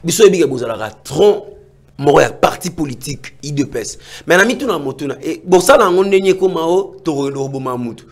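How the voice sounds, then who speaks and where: A man hosting a political talk show, talking in a rambling, halting way. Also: a little high, lively intonation.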